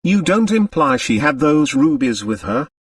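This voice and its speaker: Audiobook role: wealthy older-generation father by a male narrator, blunt and shaken, barks and mutters with wide pitch swings. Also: big pitch swings, normal speed, slightly rough, no echo, studio quality, far from the mic, heavily acted. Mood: shocked. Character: gruff, forceful wealthy father, angry and shaken.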